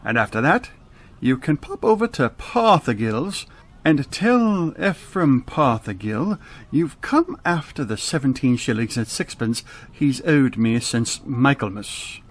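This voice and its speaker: A man playing a grim, ill-tempered old miser in a radio play, gruff, barking and scornful. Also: mid-range voice, very animated, measured pace. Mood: cold, threatening.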